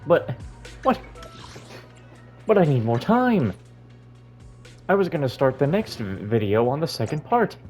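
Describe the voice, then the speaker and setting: A man giving casual, jokey playthrough commentary, halting and repeating himself as he goes. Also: fairly high pitch, very animated, a little mellow, soft background music. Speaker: gaming YouTuber.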